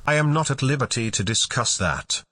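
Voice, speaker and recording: A man voicing a stiff, formal door guard who speaks in a flat, unbending way. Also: mid-range voice, normal speed.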